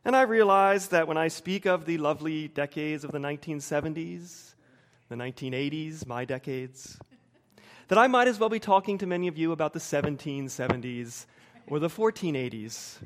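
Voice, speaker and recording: An American man in his forties preaching a sermon with personal anecdotes, measured, with emphatic pauses and repeated phrases. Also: a little high, animated. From United States, suburban Wilmington. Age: 40s.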